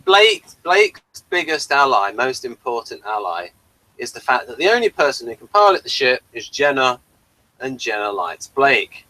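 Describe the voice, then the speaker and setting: A man's voice: mid-range voice, sing-song, moderate pace, pushed and bright, rough recording. A man hosting a casual review discussion of a TV series, emphatic and given to repeating his words.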